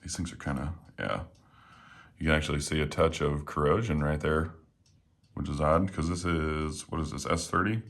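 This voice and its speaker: A man casually talking while taking apart and cleaning a knife at home, a little hoarse today, relaxed and rambling with plenty of fillers. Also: rumbling low, normal speed. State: slightly hoarse. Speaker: knife reviewer. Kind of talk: casual talk while working. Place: home room with windows.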